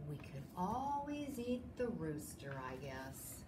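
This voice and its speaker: A woman's voice: fairly low pitch, very animated, takes it slowly, distant mic. A woman voicing a male farmer in a children's picture book, sing-song when calling, plain when replying. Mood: matter-of-fact. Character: male farmer hunting for his Thanksgiving turkey. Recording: roomy echo, clear background noise.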